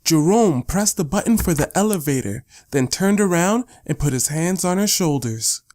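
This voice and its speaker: Male audiobook narrator, scripted storytelling, moderately high pitch. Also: expressive, normal speed, darkish tone.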